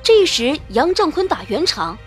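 A woman's voice: high-pitched, big pitch swings, normal speed, soft background music. A woman reading scripted, dramatic narration of a novel as an audiobook narrator.

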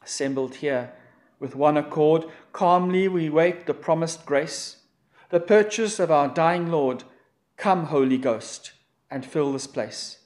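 A man's voice: fairly high pitch, darkish tone. Solemn man leading prayer and scripture. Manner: solemn, measured liturgical reading. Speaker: pastor. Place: church.

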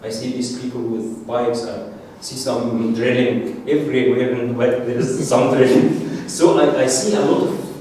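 Middle-aged Egyptian-accented male lecturer, halting, mic'd distantly in a reverberant dark screening room. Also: mid-range voice. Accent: Egyptian Arabic. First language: Arabic. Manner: halting, repeats words, animated. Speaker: masterclass speaker. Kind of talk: informal lecture to an audience. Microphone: handheld mic, filmed from the back of the room. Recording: echoey room, distant mic, faint hiss.

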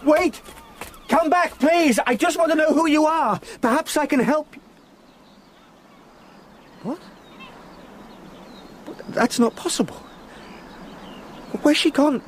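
An actor playing a polite, unnerved younger English solicitor in a radio play, speaking in a formal, dramatic way. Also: male voice, very high-pitched, slow, pauses often, slight background noise. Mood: alarmed, pleading. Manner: well-mannered, formal, dramatic.

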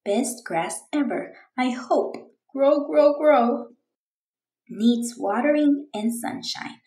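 Woman as an eager little girl, slow and earnest. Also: sits high, warm tone, echoey room, poor audio. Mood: hopeful. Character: eager, determined young girl writing her diary.

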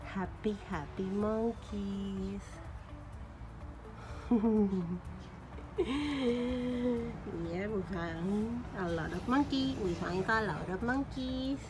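A woman showing her doll collection in a bedroom, cooing and gushing in a slow baby-talk way with soft laughs, filmed on a handheld phone. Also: medium pitch, over music. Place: bedroom at home. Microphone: phone camera held by the speaker.

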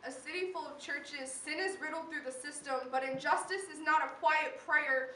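A young woman performing spoken word poetry with solemn, impassioned, measured phrasing. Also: high voice, sharp tone. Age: teen or young adult. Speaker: slam poet. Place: live poetry event in a room. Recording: echoey room, distant mic, faint noise.

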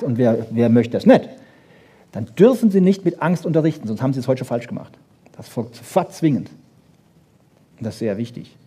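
Husky-voiced man lecturing. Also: fairly high pitch, dark tone. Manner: emphatic, rhetorical questions and short punchy asides. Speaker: lecturer on brain research. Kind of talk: lively popular science lecture to an audience.

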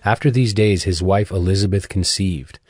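Deep-voiced male scripture narrator. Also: a little mellow, slightly rough, no echo, clean studio sound. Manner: measured, solemn storytelling.

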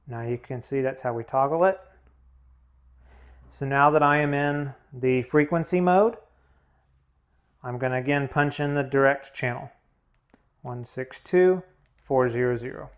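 Man giving step-by-step hands-on instructions. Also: a little high. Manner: plain, step-by-step explaining. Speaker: instructor, demonstrator. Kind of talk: hands-on tutorial narration.

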